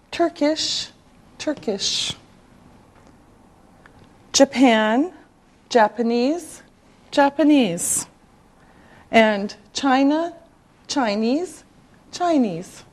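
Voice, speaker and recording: A woman teaching English to beginners, enunciating carefully and deliberately in a scripted lesson. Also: high-pitched, animated, darkish tone.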